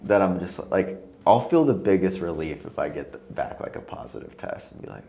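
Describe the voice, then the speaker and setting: Deep, lively-voiced tired man. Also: fairly quick, gravelly. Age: 30s. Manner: candid, loose, swears casually. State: tired, losing his voice. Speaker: podcast host. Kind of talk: casual podcast chat.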